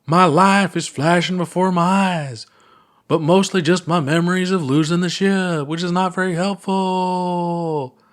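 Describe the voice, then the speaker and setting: A man's voice: sits high, fairly even intonation, unhurried, pitched above the performer's own voice. A man voicing a goofy, laid-back alien astronaut with a playful, theatrical drawl in a storybook reading. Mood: theatrical confusion.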